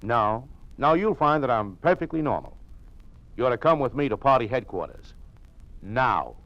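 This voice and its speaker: A man's voice: mid-range voice, big pitch swings, moderate pace, background noise. A man playing a sly, smugly threatening party functionary with a cryptic, insinuating manner, in an old-time radio drama. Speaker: actor. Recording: dull, lo-fi old radio recording.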